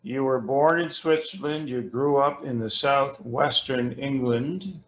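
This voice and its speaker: A man hosts a remote interview, speaking slowly at a middle pitch. His delivery is halting and rambling as he works from notes, and the sound is roomy and echoing. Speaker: host interviewing a musician. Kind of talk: conversational interview, introduction read from notes. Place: remote internet video call.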